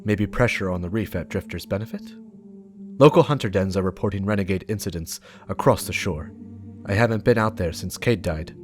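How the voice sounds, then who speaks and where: A man's voice: deep voice, brisk, natural voice. A man performing a stern, driven investigator who dictates clipped, hard-boiled field reports in a dramatic reading of game lore. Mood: businesslike, brooding. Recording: music behind the voice.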